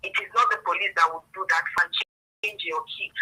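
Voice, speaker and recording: A woman's voice: medium pitch, brisk, sharp tone, a little hiss or hum. A woman with a Nigerian accent answering as a police spokesperson in a radio interview over a phone line, earnest and instructive, repeating points for emphasis. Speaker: police public relations officer, interview guest.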